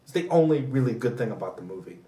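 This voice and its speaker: A man's voice: medium pitch, expressive, quick pace, dark tone. A man co-hosting a casual pop culture podcast, rambling and opinionated as he chats. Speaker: podcast host. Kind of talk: casual conversational debate about pop culture. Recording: roomy echo, distant from mic.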